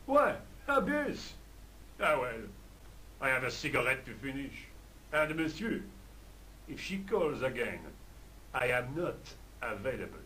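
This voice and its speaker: A man's voice: mid-range voice, very animated, measured pace, long pauses, pushed and bright, slight background noise, low-quality recording. An actor playing a vain Frenchman who smokes, speaking with a French accent in a haughty, theatrical way. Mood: indignant. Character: smoking Frenchman, vain, easily flattered. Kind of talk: game voice acting.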